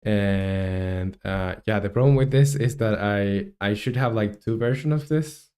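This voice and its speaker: Chilean guy in his 20s streaming game dev, kinda low voice, lots of uh and um. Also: measured pace, a little mellow. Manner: rambling, many fillers and restarts, reads chat aloud. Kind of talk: casual livestream chat while working.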